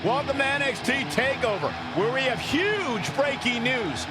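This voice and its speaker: A man's voice: very high-pitched, animated, normal speed. A man playing a showy, official broadcast wrestling announcer in a video game. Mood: excited. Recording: loud music behind. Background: music.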